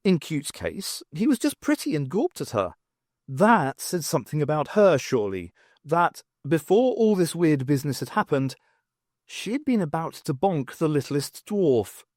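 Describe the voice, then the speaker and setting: A man reading a story aloud as an audiobook narrator, in an expressive, scripted storytelling delivery. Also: a little high, normal speed, no room sound, clean studio sound. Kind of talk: scripted dramatic narration of fiction.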